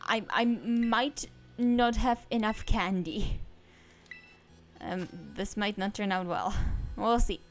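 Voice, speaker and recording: A woman's voice: mid-range voice, steady tone, slow. Chatty woman streamer. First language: Czech. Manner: rambling and chatty, trails off into asides. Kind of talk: casual let's-play commentary.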